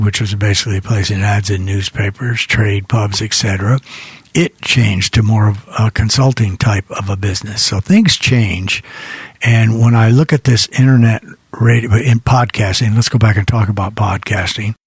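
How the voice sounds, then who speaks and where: A man's voice: fairly low pitch, slightly rough, no echo. An older American man hosting an internet radio show, talking in a rambling, reflective, conversational way between songs. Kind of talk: casual monologue between songs.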